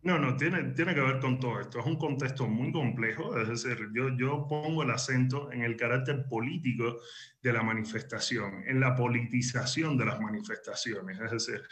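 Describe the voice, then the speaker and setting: A man's voice: medium pitch, normal speed, fairly bright tone. Cuban man giving earnest interview answers. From Cuba. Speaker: interview guest, exiled journalist and editor.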